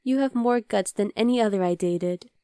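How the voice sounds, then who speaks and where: A woman playing an affectionate, playful young demon girlfriend in an intimate ASMR roleplay, speaking softly to the listener. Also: medium pitch, moderate pace, clean tone, no echo, clean studio sound, natural voice. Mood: fond, teasing.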